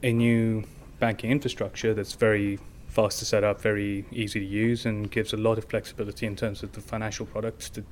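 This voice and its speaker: Male founder as interview guest, flat delivery, casual explainer, faint noise behind. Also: lowish voice, brisk, hardly any pauses. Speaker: guest, tech company founder.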